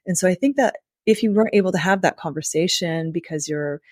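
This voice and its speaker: A woman's voice: fairly low pitch, fast talker, darkish tone, no room sound, clean studio sound. A woman hosting a podcast interview, speaking in an affirming, conversational way and building on her guest's points. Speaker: podcast host.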